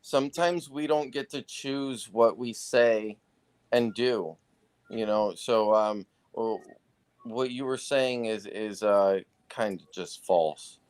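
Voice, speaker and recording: Laid back guy on a live show, slow talker, smooth voice, lots of uh and um. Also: fairly low pitch, darkish tone. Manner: laid-back, halting with fillers. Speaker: guest on a live talk show. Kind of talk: casual chat.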